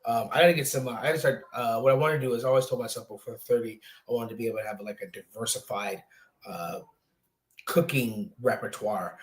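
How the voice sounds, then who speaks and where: A man's voice: medium pitch, fairly even intonation, fast talker, low-quality recording. Chatty young man hosting a sports show. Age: 20s. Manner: chatty, rambling, many fillers. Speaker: podcast host. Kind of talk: casual sports talk.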